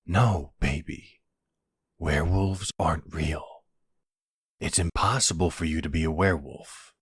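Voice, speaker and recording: A man's voice: extremely low pitch, steady tone, unhurried, breathy edge, no room sound, clean studio sound, exaggerated voice. A man acting as a worried, insistent boyfriend in a roleplay audio drama, speaking in an earnest, pleading, exasperated way. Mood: concerned, disbelieving.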